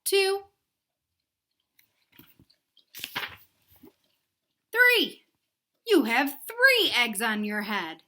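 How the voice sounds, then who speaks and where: A cheerful little storybook pig voiced by a woman, high and bright. The helpful friend announces each thing in a deliberate, lilting sing-song. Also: sing-song, heavily acted.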